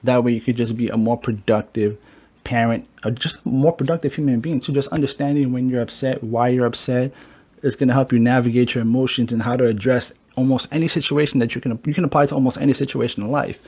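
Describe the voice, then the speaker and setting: A man's voice: mid-range voice, fairly even intonation, fast, a little mellow, breathy edge. A man hosting a casual podcast chat who talks in a loose way and swears freely. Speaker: podcast host.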